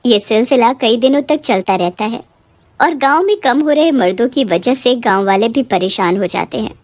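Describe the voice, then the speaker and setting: Woman reading a horror kids story, high voice, very up and down, music behind. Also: animated, normal speed, no echo. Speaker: narrator. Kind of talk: scripted horror bedtime-story narration.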